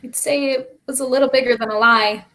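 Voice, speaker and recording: A woman's voice: high voice, fairly even intonation, a little fast, a bit bright. A woman acting a gloomy, fearful child in a staged play reading, grave and hesitant. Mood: reluctant. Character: stunned, gloomy child named Finn, fearful and reluctant.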